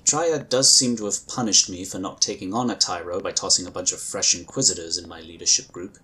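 A man playing a composed, dutiful psychic agent in a sci-fi audio drama, speaking in a formal, earnest, measured way. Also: low-pitched, bright, forceful tone, a little hiss or hum. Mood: musing.

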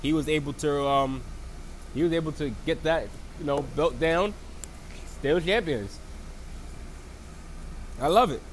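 A man's voice: fairly high pitch, measured pace, long pauses, a little hiss or hum, low-quality recording. Man with a cold recapping wrestling. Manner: rambling, lots of fillers and restarts. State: sick with a cold, froggy voice. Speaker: wrestling show reviewer. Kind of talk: casual solo recap.

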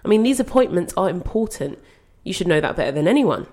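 A woman's voice: on the low side, animated, fast talker, darkish tone. A woman acting a concerned, exasperated young grandchild in an audio play, reasoning patiently but firmly. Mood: coaxing. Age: young adult.

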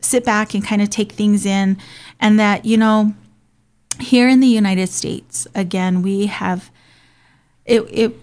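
A woman's voice: medium pitch, fairly even intonation, slow, darkish tone. A woman who grew up in Hawaii, hosting and talking in a casual, earnest way with frequent ums. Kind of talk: casual conversational talk.

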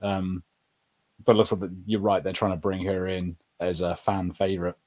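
A man's voice: low-pitched, flat delivery, normal speed, a little mellow. A man co-hosting a podcast, chatting casually as he reviews TV shows. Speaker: podcast co-host.

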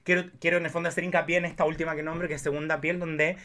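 A man's voice: on the high side, flat delivery, racing, bright, forceful tone. A man chatting candidly as a podcast guest, in a playful, rambling way. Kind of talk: casual, candid chat about films.

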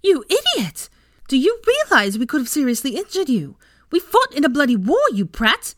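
A woman's voice: medium pitch, wildly swooping pitch, normal speed, dry recording, pitched above the performer's own voice. A woman reader acts out a brash teenage girl from a storybook. The voice is raised well above a normal register, emphatic and blunt, with a fiery edge, recorded very dry. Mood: angry, indignant. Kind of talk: audiobook character voice.

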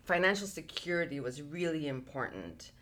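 A woman from Peru, a guest entrepreneur, telling her life story in a relaxed, chatty interview with frequent "like" fillers. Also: low-pitched, moderate pace.

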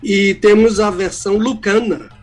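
An older retired pastor from Salvador, Bahia, with a mixed Brazilian accent, teaching the Bible on radio in a calm, explanatory way. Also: male voice, high voice, a little slow, soft background music. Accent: mixed Brazilian Portuguese, little Bahian.

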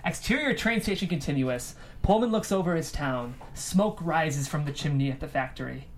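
A woman with a low-pitched voice hosts a script reading. She narrates and interviews, with clear noise in the background. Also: normal speed. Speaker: host and stage-direction narrator.

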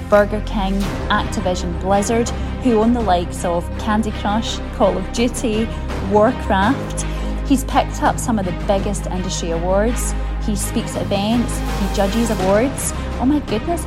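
A woman opening a podcast with a scripted, upbeat introduction. Her pitch is middling, and music plays clearly underneath her. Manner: upbeat, promotional. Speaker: podcast host.

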